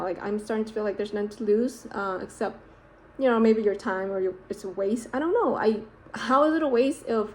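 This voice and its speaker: A woman's voice: medium pitch, a little mellow, a little hiss or hum, poor audio. A woman talking to her camera in a casual vlog, rambling and self-reflective with many fillers and restarts, a native Vietnamese speaker. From United States, California. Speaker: vlogger.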